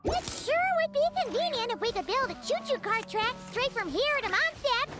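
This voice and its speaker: A cheerful little girl from a video game with a very high, childlike voice. She is excitable and sing-song, swinging widely in pitch, with music behind her. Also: brisk. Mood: bright curiosity.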